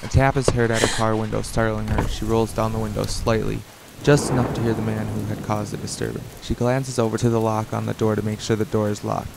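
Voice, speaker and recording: Male narrator for amateur radio drama: lowish gravelly voice reading scene directions, clear background noise. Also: little pitch movement, a little fast, few pauses. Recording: noisy, rain and sound effects behind.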